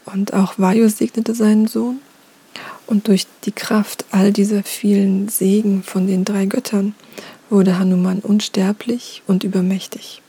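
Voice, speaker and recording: A woman softly whispering a myth as a storyteller, with casual fillers. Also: medium pitch, flat delivery, unhurried, slightly rough.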